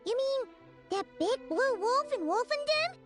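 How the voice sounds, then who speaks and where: A woman voicing a tiny, girlish companion in a video game, curious, chirpy and excitable, with a squeaky voice. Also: unhurried, faint music behind, full character voice. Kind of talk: scripted video game dialogue.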